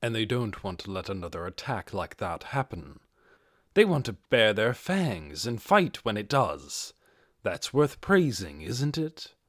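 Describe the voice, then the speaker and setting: A man voicing a composed, brooding undead overlord in a measured, commanding manner for a fantasy audiobook. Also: fairly low pitch, sing-song, a bit bright, dry recording, studio quality, heavily acted.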